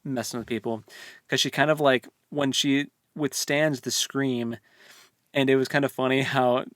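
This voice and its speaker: A man's voice: medium pitch, steady tone, normal speed, fairly bright tone, dry recording, studio quality. A man chatting casually as a podcast co-host, with a colloquial, slangy, jokey way of talking. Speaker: co-host of a book discussion podcast. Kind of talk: casual chat recapping and reviewing a fantasy novel.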